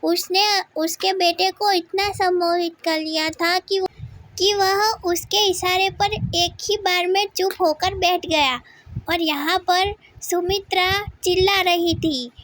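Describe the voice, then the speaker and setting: A woman's voice: very high voice, steady tone, takes it slowly, a bit bright, no room sound. A woman narrating a scripted cartoon story for children as its storyteller.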